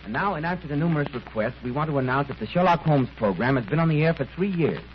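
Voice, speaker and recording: A man's voice: a little high, expressive, quick pace. A male radio host in 1930s announcing style, polished and courteous, delivering scripted sponsor chat like a salesman. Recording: dull, noisy 1930s radio recording.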